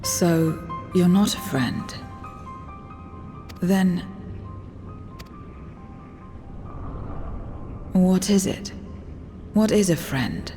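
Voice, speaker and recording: A woman playing an ageless, half-voidsent woman in a video game, grave, formal and measured, proud and detached. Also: fairly low pitch, expressive, mellow, faint music behind, full character voice. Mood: questioning. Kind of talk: dramatic video game cutscene acting.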